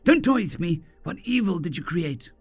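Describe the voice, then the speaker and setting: A man voicing an angry young female mage who yells and accuses. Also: lively intonation, moderate pace, gravelly, exaggerated voice. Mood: furious. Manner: shrill, yelling, accusing.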